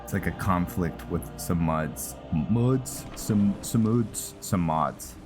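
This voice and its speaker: A man giving unscripted game commentary, thinking aloud in a halting way. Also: low voice. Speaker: gaming video host, player. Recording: game music behind voice. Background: game music.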